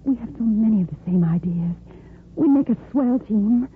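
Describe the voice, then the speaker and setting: A woman's voice: fairly high pitch, lively intonation, moderate pace, natural voice. An actress playing an earnest, romantically torn young woman doctor in a 1950s radio soap opera, with dramatic phrasing. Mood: admiring, intense. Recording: noisy tinny 1950s radio recording.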